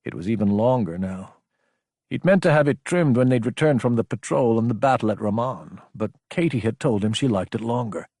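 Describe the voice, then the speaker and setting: A man narrates an audiobook with a dramatic, scripted delivery. His mid-pitched voice has a husky grain and is recorded dry, with hardly any room sound. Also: lively intonation, a little fast, darkish tone, no echo.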